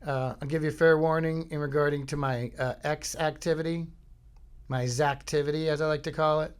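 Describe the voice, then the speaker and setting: A man's voice: fairly high pitch, fairly even intonation, a little fast, clear, resonant tone. A middle-aged man from Florida hosting his own podcast at home, talking in a rambling, jokey way and breaking into silly bits. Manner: jokey, self-interrupting, breaks into goofy sung bits and impressions. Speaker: podcast host. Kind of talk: rambling comedic monologue. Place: home studio.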